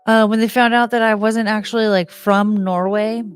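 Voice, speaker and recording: A woman's voice: medium pitch, fairly even intonation, moderate pace, smooth, faint music behind. An American woman hosting a live stream, chatting in a rambling, unfiltered way with casual swearing. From United States.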